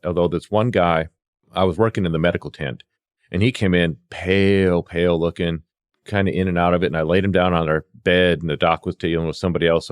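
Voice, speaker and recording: A middle-aged man telling casual anecdotes as a podcast guest, acting out bits of dialogue as he goes. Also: low voice. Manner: animated re-enacting of dialogue. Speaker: podcast guest, veteran EMS worker.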